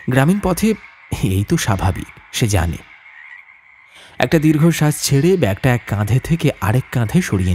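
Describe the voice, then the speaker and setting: A man narrating a horror audiobook as a storyteller, with suspenseful, atmospheric delivery and deliberate phrasing. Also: mid-range voice, darkish tone, slight background noise.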